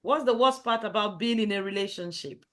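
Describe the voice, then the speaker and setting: A woman runs a casual online discussion and briskly prompts each guest to speak. Her voice sits at a middle pitch. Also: a bit bright. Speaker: host. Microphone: video call.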